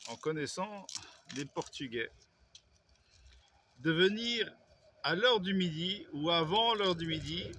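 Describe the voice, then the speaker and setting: A man's voice: high-pitched, bright, forceful tone, a little hiss or hum. A man, vlogging in a rambling way, with hesitations and self-corrections. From Belgium. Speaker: YouTuber. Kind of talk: casual vlog monologue.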